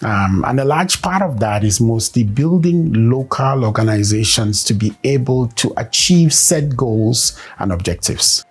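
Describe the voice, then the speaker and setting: A man with a husky, slightly rough voice and a middle-pitched tone, answering interview questions in a measured way. He pauses often with fillers and builds his points step by step. Faint music plays softly behind him. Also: animated. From Cameroon. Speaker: performance consultant and coach.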